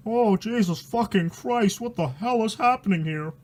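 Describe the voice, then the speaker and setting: A man's voice: sits high, normal speed, darkish tone. A man reading a storybook aloud in a dramatic way, mixing the narration with casual, crude, mock-horrified commentary.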